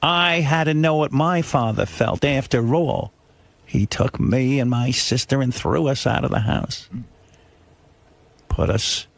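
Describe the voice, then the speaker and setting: A man voicing an aggrieved, self-pitying older mother who guilt-trips in a drawn-out, martyred way. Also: medium pitch, gravelly, no room sound.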